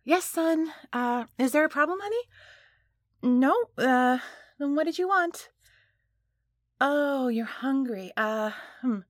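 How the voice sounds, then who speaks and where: Woman acting high-pitched, breathless, defiant boss. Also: big pitch swings, slow pace, fairly bright tone, dry recording, studio quality, heavily acted. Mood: nervous, covering up. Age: adult. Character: domineering, defiant adult female boss, breathless and whimpering. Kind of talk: erotic audio roleplay acting.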